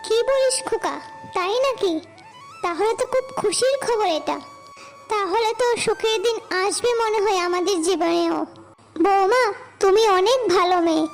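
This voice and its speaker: Woman voicing a very high-pitched grateful old mother. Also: normal speed, soft background music. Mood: happy surprise. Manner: grateful, affectionate. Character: elderly village mother praising her devoted daughter-in-law.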